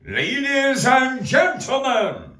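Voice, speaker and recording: A man playing a bombastic circus announcer, shouting out drawn-out, declamatory introductions to rouse a rowdy crowd in a puppet show. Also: very high-pitched, wildly swooping pitch, pitched above the performer's own voice, exaggerated voice. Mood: rowdy showmanship. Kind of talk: stage puppet show barking. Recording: roomy echo, distant mic.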